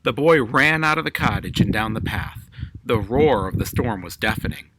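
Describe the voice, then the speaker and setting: A man's voice: on the low side, expressive, moderate pace, noisy background. A man reads a children's story aloud as a storyteller, in narrated prose.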